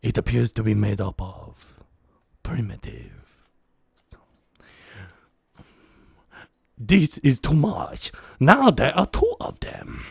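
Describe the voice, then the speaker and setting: Pompous, scheming sci-fi alien viceroy played by a man: formal, stilted, easily flustered, lively pitch swings. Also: measured pace, creaky, husky, no room sound, clean studio sound, exaggerated voice. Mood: alarmed.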